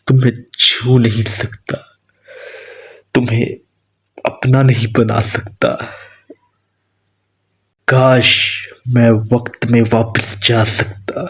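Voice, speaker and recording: A man playing a cold, aloof young vampire king in a dramatic romantic ASMR roleplay, with a husky, breathy voice. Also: medium pitch. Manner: aloof, condescending, slow deliberate delivery.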